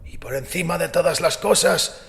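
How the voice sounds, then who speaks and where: Man playing a creaky, defiant old priest. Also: fairly high pitch, a bit bright. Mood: solemn prayer. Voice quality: creaky, gravelly. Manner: solemn, deliberate, resolute. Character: frail old male priest, devout and defiant. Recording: long echoing hall reverb, music underneath.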